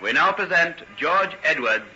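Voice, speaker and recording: Male announcer, vintage radio style, animated intonation, music behind, dull recording. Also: on the high side, normal speed. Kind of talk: old-time radio announcing.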